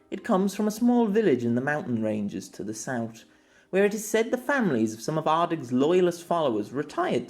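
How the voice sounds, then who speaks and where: A man narrating a scripted story in a measured, formal storybook manner. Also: mid-range voice, darkish tone. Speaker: audiobook narrator. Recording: music under the voice.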